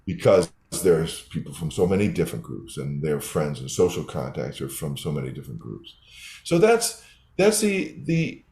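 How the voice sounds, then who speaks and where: A man's voice: low voice, mellow. An older man, a developmental psychologist, explaining his research in a thoughtful interview answer with frequent restarts. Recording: roomy echo.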